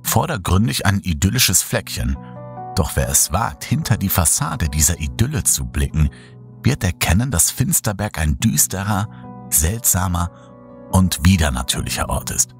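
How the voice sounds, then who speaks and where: A man reading a horror story aloud in slow, hushed, dramatic narration. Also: deep voice, very animated, vocal fry, soft background music. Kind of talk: scripted horror audiobook narration.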